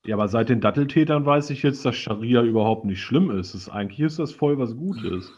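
A man's voice: medium pitch, expressive, fairly quick, darkish tone, husky, dull audio. A man on a casual satirical panel show, talking in a mock-earnest, sarcastic way. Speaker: panelist on a live talk show. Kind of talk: casual satirical group discussion.

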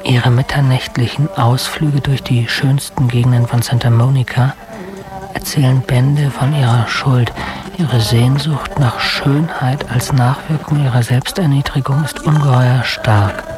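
A man playing an obsessive, self-righteous diarist in a radio drama, speaking solemnly and deliberately. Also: medium pitch, steady tone, natural voice. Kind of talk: dramatic monologue, diary entry. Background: music.

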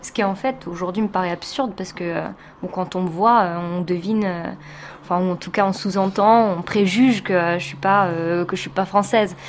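Woman born in france, teacher, hesitant reflective interview talk, lots of little pauses. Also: on the low side, slight background noise. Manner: hesitant, thoughtful, many filler pauses. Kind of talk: reflective personal testimony.

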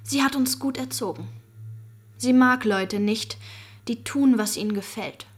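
An actress playing a defiant, morally rigid young woman in a German radio crime drama, stubborn and clipped, with a husky, breathy voice. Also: fairly high pitch, unhurried. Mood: rigid, resentful. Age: young adult.

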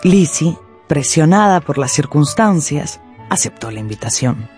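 Low, mellow woman narrator. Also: a little slow, faint music behind. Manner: expressive storytelling. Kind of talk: scripted radio drama narration.

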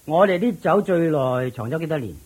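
A woman's voice: low-pitched, expressive, brisk, a little mellow, breathy edge. A woman voicing a smug, wealthy male master of the house in a radio drama, chuckling and ordering his servants about. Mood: pleased. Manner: smug, self-satisfied, chuckling, commanding servants.

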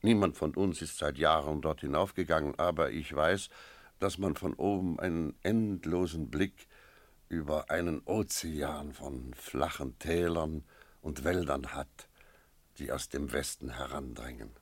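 An actor playing a sober village innkeeper who tells an eerie tale in a measured, reflective radio drama style. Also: male voice, a bass voice, dry recording, full character voice. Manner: measured, reflective, literary storytelling. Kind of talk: radio drama narration and dialogue.

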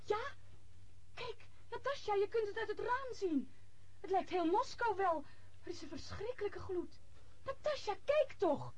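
Radio drama, timid girlish young woman, very high voice, hesitant and earnest delivery. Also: animated, a little slow. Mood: horrified.

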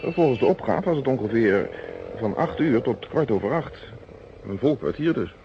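An actor playing a shrewd, self-assured police inspector in a radio play, measured, polite and casually probing. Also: male voice, medium pitch, animated, natural voice. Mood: disarmingly polite. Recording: dull, noisy old radio recording.

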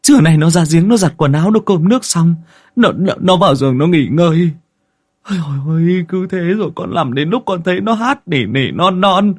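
A man's voice: high voice, expressive, a little fast, husky, pitched above the performer's own voice. A man voicing a terrified, whining village husband in a horror story, stammering and pleading. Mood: panicked, stammering. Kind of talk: Vietnamese comic horror audiobook acting.

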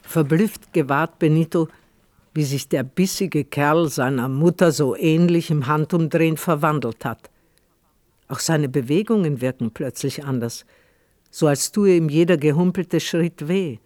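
Higher-voiced male narrator, dry studio sound. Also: a little slow, a little mellow, no room sound. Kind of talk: literary radio play narration.

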